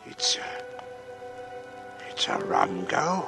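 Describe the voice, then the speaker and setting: An actor in a 1940s radio drama playing an uneasy, plain-spoken working man, a stonemason who talks in a halting mutter. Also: sits low, big pitch swings, vocal fry, heavily acted. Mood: uneasy, puzzled. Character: uneasy working-class monument mason, plain-spoken and superstitious. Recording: old radio broadcast, music behind.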